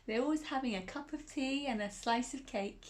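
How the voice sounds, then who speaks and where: A woman's voice: high voice, moderate pace. A woman reading a children's picture book aloud, chuckling often and asking the listener questions. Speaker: children's storyteller.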